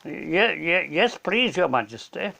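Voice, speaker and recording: A man's voice: high voice, sing-song, unhurried, gravelly, sharp tone, full character voice. A man voicing a nervous, sulky young boy in a storybook reading. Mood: timid.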